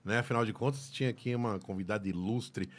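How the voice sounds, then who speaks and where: A man's voice: lowish voice, fast. A man hosting a podcast interview, teasing and playful, often stumbling and restarting his sentences. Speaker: podcast host. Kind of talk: casual interview chat.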